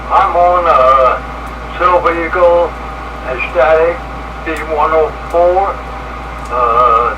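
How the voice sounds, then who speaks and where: Slow, halting man on noisy radio. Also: a little high. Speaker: CB radio check-in participant. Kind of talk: casual radio report. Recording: noisy CB radio transmission with static.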